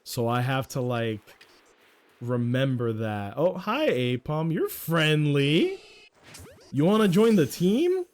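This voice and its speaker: Loud, profane young man streaming. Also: on the high side, sing-song, slow pace. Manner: rambling, profane, self-mocking. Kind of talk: casual live-stream chat while gaming.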